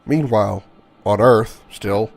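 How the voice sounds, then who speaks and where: A man hosting a casual let's-play, stuffy and sniffling from a sinus infection, rambling in halting asides. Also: on the low side, creaky. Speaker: gaming channel host.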